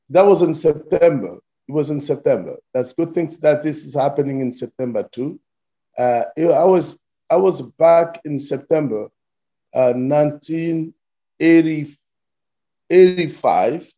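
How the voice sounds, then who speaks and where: A man in his fifties with a Congolese accent, a pastor answering interview questions haltingly and repeating his phrases as he goes. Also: on the high side, dark tone, lo-fi sound. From Democratic Republic of Congo. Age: 50s. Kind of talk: interview answers, recounting life story.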